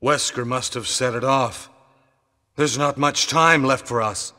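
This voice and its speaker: Bright, forceful blustery team-mate. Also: male voice, medium pitch, steady tone, a little slow, dry recording, clean studio sound. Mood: blustery, sheepish. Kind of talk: stilted 1990s video game voice acting.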